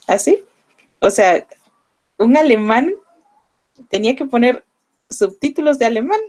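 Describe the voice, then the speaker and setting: A young Mexican woman with a Mexican Spanish accent, explaining to her students in a relaxed classroom manner full of fillers. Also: a little high. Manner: conversational, explanatory, with frequent fillers. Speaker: language teacher. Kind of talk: online class lecture.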